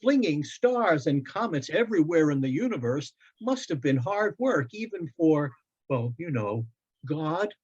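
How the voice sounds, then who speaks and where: Man voicing an eager, high-pitched little boy. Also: a little slow, husky, full character voice. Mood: wondering. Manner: bright, wondering, excitable. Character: eager, curious young boy stargazing with his father. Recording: dull, lo-fi online event audio.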